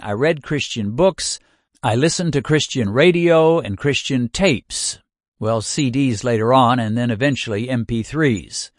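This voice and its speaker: An older man giving a scripted first-person reading, speaking in a deliberate, measured cadence and working through long lists. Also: medium pitch, no echo, studio quality.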